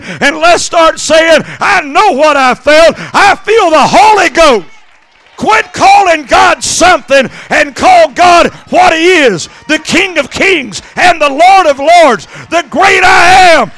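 A middle-aged man preaching an impassioned Pentecostal sermon into a handheld microphone, exhorting and swinging between conversational asides and shouts. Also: very high-pitched, lively intonation, slow pace. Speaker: evangelist preaching. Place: church sanctuary stage at a pulpit.

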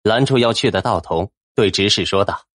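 A man's voice: fairly low pitch, normal speed, fairly bright tone, dry recording, clean studio sound. A man narrating a fantasy novel in a dramatic, scripted storytelling style. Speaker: audiobook narrator.

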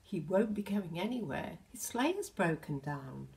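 An older British woman reads a picture book to small children at a very unhurried pace. Her voice sits fairly low and has a crackly edge. She is expressive and often turns to the children with gentle questions. There is slight background noise with some clicks. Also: vocal fry. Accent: British English. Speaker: storyteller, infant school teacher. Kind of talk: children's picture book read-aloud with asides. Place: indoors in a home hallway.

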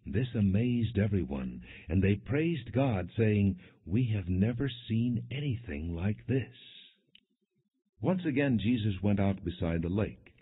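Low-voiced guy solemnly narrating a bible audiobook, measured, sounds tinny like over a phone. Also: expressive, slightly rough. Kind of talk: solemn scripted Bible reading, non-dramatized. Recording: muffled, phone-like narrow band.

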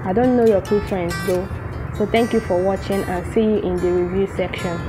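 A woman's voice: mid-range voice, fairly even intonation, fairly quick, music in the background. A woman from Nigeria hosting her cooking channel, explaining steps and leading a casual food review at a home table. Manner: chatty, instructive, says "um" often. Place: home dining room, seated at a table. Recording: noisy home recording.

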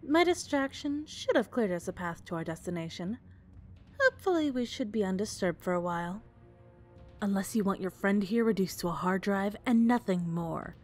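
A woman voicing a cool, commanding female villain with a measured, formal, menacing manner. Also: medium pitch, expressive, a little mellow, soft background music, pitched below the performer's own voice, lightly acted. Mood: cold menace. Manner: measured, formal, controlled threat.

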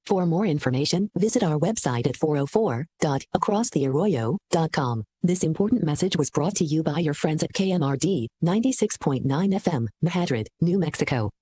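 An actor playing a solemn emergency announcer, reading a mock safety bulletin for comedy radio in a formal, deadpan manner. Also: medium pitch, a little fast, few pauses, husky, no room sound. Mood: deadpan, official. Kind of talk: scripted parody public safety announcement.

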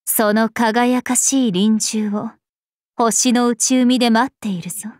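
A woman's voice: on the high side, fairly even intonation, slow pace, a little mellow, no echo, studio quality. An actress playing an aloof, ancient female spirit in an anime game, speaking in a stately, imperious and archaic manner. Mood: solemn, regal. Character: regal, aloof ancient female planet spirit, calm and haughty. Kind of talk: anime game character voice lines.

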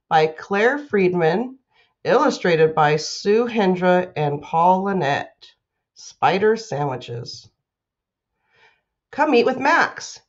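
A woman's voice: lowish voice, lo-fi sound. A woman, a school principal reading aloud and making announcements to children.

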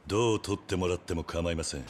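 An actor playing a stoic, principled yakuza lieutenant in a video game, speaking in a restrained, terse, resolute way. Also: male voice, low-pitched, fast talker, a little hiss or hum, lightly acted. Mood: stoic, firm. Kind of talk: dramatic game cutscene acting. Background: background music.

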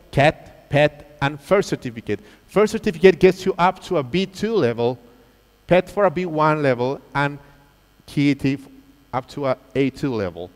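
Man, thirties, lively stage presenter quizzing a big audience, ringing hall reverb. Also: medium pitch. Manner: lively, engaging, asks the crowd questions. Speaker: presenter at a teachers' convention. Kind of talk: live quiz-style presentation to an audience. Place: large auditorium stage.

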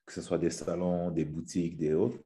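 Brief: man, 30s, deep and very dark voice, rambling casual guest on a webcam call from home. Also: little pitch movement, normal speed. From French Guiana. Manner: rambling with many restarts and fillers. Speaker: podcast guest, fitness influencer. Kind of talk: casual video-call interview. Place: small room at home. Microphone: webcam on a video call.

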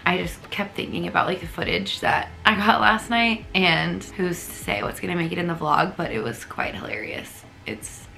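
A woman's voice: lowish voice, expressive, moderate pace, vocal fry, hardly any pauses, sharp tone. A young woman vlogging casually to camera from a hotel bed, her voice scratchy, her talk chatty and gushing. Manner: chatty, gushing, filler-heavy. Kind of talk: casual vlog chat to camera. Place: hotel bedroom, sitting up in bed.

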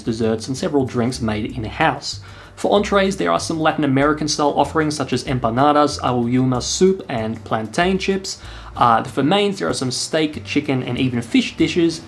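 A young man with a chatty, self-deprecating manner, presenting a scripted travel review while seated indoors. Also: mid-range voice. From Australia. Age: young adult. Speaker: travel vlogger. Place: indoor room with brick wall and couch.

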